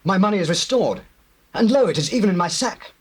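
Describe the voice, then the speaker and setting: Worried biblical brother, fast n raspy, agitated scripture drama. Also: male voice, high-pitched, big pitch swings, exaggerated voice. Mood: alarmed. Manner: agitated, formal declaiming. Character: troubled, guilt-ridden brother in ancient Canaan. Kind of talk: dramatized scripture, theatrical acting.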